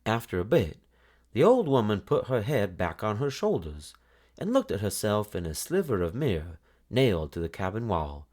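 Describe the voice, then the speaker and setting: Casting: male children's book narrator, lowish voice, very lively intonation, expressive read-aloud delivery. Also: normal speed. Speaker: storybook narrator.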